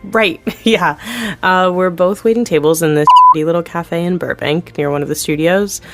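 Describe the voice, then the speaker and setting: A woman's voice: fairly low pitch, moderate pace, over loud music, lightly acted. A woman playing a chatty, candid TV producer. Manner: candid, chatty, laughs often, self-deprecating. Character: candid, chatty female TV producer in her thirties. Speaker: TV executive producer, interview guest. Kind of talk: scripted audio drama, acted interview.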